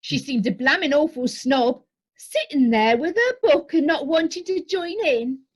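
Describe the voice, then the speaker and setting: A woman's voice: high voice, lively intonation, a little slow. A woman playing a blunt, slangy, cheeky daughter on a cruise in an amateur radio drama. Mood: scornful.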